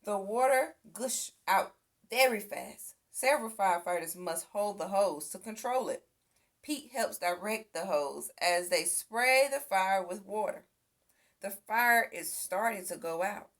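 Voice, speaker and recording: A woman reading a children's picture book aloud as an expressive storyteller. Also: mid-range voice, a little slow. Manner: expressive, acts out sound effects and exclamations. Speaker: storybook reader.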